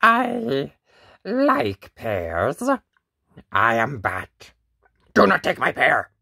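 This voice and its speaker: A woman voicing a small, grumpy, possessive bat in a theatrical, drawn-out way for a children's picture book. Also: extremely low pitch, wildly swooping pitch, creaky, pushed and bright, breathy edge, dry recording, clean studio sound, heavily acted. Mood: pleased, possessive. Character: grumpy, dramatic, possessive little cartoon bat.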